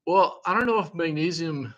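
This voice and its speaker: A man's voice: a little high, expressive, moderate pace, fairly bright tone. Man rambling in a chatty, curious way. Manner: rambling, thinking aloud, curious; chuckles often between remarks. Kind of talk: casual chat.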